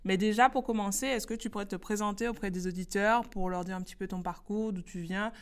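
A woman hosting a podcast interview, upbeat and playful, laughing often and firing off quick questions. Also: mid-range voice, fairly even intonation, smooth. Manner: quick-fire quiz questions. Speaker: podcast host interviewing a guest. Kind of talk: casual interview chat.

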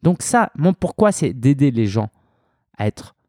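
A man from France hosting a solo business podcast, talking straight to the listener in a relaxed, conversational way with frequent hesitations. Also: mid-range voice, a little slow, no room sound, studio quality. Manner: conversational, addresses listener directly with many fillers. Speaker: podcast host.

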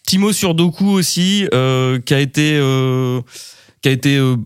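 A young man chats about football in a casual co-host style, full of quick fillers and restarts. His medium-pitched voice sounds close and dry, with no room echo. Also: clean tone. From Brittany, France. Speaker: podcast co-host.

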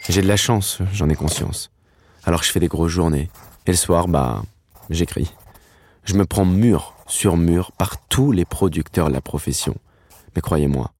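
A man in his thirties playing a dreamy, stubborn aspiring screenwriter who narrates his own story in a fiction podcast, with a husky voice. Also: low-pitched, a little mellow, no echo. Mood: resigned, determined. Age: 30s. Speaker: narrator and lead character.

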